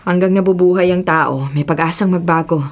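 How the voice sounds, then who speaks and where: A woman's voice: lowish voice, fairly quick, natural voice. A young woman acting an earnest, principled policewoman and devoted daughter in a radio drama. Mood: earnest, concerned.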